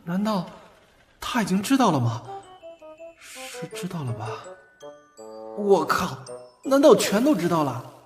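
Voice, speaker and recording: A man plays an eager, contrite young boyfriend in a romantic audio drama. His pitch moves up and down a great deal, with music clearly behind him. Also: sing-song, measured pace, long pauses. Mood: panicked. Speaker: voice actor. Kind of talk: Chinese boys' love audio drama acting.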